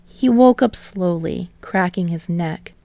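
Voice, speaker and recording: A woman's voice: on the low side, slow, dark tone, no room sound. A woman reading fiction aloud as scripted audiobook narration.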